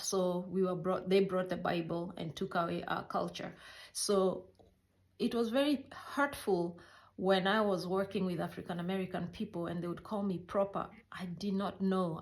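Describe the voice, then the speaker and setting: Low-pitched Kenyan woman, halting and reflective. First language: a Kenyan mother tongue. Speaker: healthcare worker recounting her life. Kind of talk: personal storytelling.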